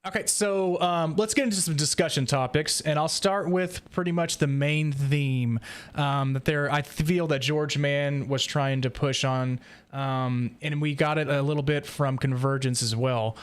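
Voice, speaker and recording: A man's voice: a little high, steady tone, a little slow, smooth. A man hosting a roundtable, talking casually through discussion points with fellow fans. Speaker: podcast host leading a roundtable.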